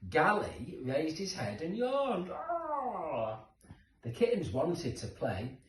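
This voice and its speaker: A man's voice: on the high side, huge pitch swings, takes it slowly. A man narrating a children's story expressively, with dramatic emphasis for young listeners. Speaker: storybook reader. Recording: roomy echo, distant microphone.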